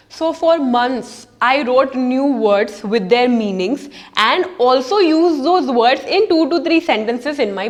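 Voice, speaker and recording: A young woman from India with an Indian English accent and Urdu as her first language, giving a scripted English lesson in a lively, emphatic and playful way. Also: a little high. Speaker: English teacher and video host. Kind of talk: scripted educational vlog.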